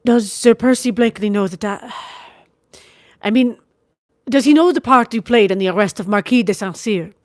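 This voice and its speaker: A woman voicing a gentle, earnest young nobleman in an audiobook reading. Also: mid-range voice, moderate pace, dry recording, clean studio sound, natural voice.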